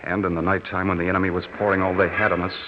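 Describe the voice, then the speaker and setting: A man's voice: sits low, level tone, racing, close to an everyday voice. A man playing an earnest, devoted young soldier in a solemn, sincere style of old radio drama. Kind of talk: 1940s radio drama acting, narration and dialogue. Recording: muffled, noisy old radio recording.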